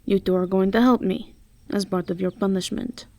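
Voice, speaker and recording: A woman voicing an authoritative, composed and wry academy professor in an amateur fantasy audio drama, with a measured, instructive manner. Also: mid-range voice, fairly even intonation, mellow, close to an everyday voice.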